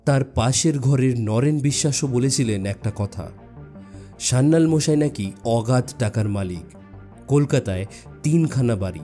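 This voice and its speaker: Mellow male suspense narrator over music. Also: mid-range voice. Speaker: audiobook narrator. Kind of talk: scripted dramatic suspense story narration. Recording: music bed behind the voice. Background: background music.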